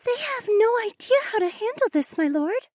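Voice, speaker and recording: A man's voice: very high voice, sing-song, moderate pace, no room sound, clean studio sound, voice pushed up. A man voicing an agitated female character in a fantasy audiobook. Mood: worried. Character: soft-voiced, worried woman; also a shrill townswoman.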